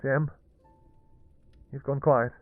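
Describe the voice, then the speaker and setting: A man playing an anguished, devoted vampire king in a fantasy romance audio roleplay, speaking in halting, pleading, formal old-fashioned phrases. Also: mid-range voice, little pitch movement, dry recording, phone-quality sound, soft background music. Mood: earnest, pleading. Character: anguished, devoted vampire king, obsessive lover and new father.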